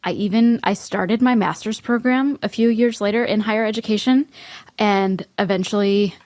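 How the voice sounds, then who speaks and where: Co-host, woman, early thirties, mid pitch, chatty and filler-heavy, dry sound. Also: steady tone, a little mellow, no echo. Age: 30s. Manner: chatty, lots of fillers like "like", quick laughs. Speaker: podcast co-host. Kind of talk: casual chat.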